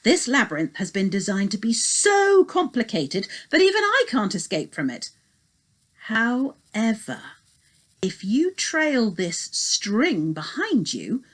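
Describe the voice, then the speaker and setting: Lady reading as a clever storybook inventor guy, explaining carefully, unhurried but lively pitch. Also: exaggerated voice. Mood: instructive. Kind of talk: storybook character voice.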